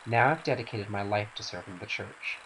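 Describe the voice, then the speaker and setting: A man's voice: fairly low pitch, brisk, close to an everyday voice. A man voicing a stern, pragmatic woman in a dramatic game scene, firm and admonishing in manner. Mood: stern, matter-of-fact. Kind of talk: dramatic reading of game cutscene dialogue. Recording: faint hiss.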